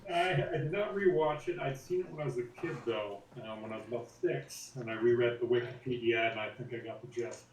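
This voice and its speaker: A former art director, a man, talks casually on a video call in a relaxed, plain way. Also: medium pitch, fairly quick, hardly any pauses, a little hiss or hum. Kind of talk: casual chat. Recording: roomy, distant video-call audio.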